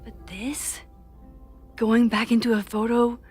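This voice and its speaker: A woman's voice: a little high, fairly even intonation, slow. A woman acting an anxious, guilt-ridden young American woman in a video game, with a wry, self-doubting manner. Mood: worried, doubtful. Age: young adult. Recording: music under the voice. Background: game music.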